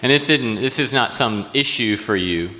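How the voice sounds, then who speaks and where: A man's voice: mid-range voice, normal speed, pushed and bright. A man hosting a live interview on stage, putting questions to his guest in a hall. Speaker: host, interviewer. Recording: reverberant hall.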